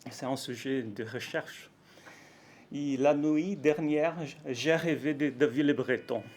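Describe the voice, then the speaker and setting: Brazilian man giving a halting talk in French. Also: medium pitch, a little hiss or hum. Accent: Brazilian Portuguese. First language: Portuguese. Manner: halting, careful French with frequent fillers and self-corrections; nervous, warm, laughs lightly. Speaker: academic speaker at a conference. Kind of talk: conference talk.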